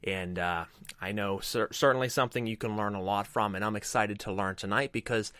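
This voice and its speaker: A man hosts a talk show, welcoming his audience and guest with eager energy and frequent fillers. His voice sits on the low side. The recording is dry, with no room sound. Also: fairly even intonation, brisk, a bit bright, clear, resonant tone, no room sound. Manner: enthusiastic, promotional, frequent "um" and "uh". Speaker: host.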